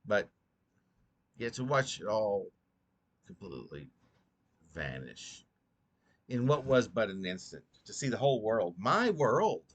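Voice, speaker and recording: A man reading his own poem aloud in an earnest, rhetorical, dramatic recitation with a halting delivery. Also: lowish voice, big pitch swings.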